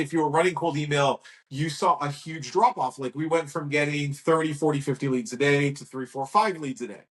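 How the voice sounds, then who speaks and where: A man in his thirties talks frankly and at length, stacking up stories with lots of "like" and restarts. His voice sits at an ordinary middle pitch for a man. He talks casually, as a guest chatting with a host. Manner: rambling, frank, lively storytelling with acted quotes. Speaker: guest, agency owner. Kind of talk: casual interview talk.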